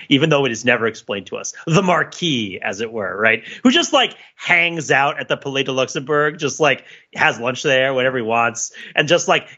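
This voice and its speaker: A man's voice: mid-range voice, pushed and bright, highs cut off. A man on a podcast giving casual, analytical film commentary in a wordy, playful, explanatory way. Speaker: podcast panelist.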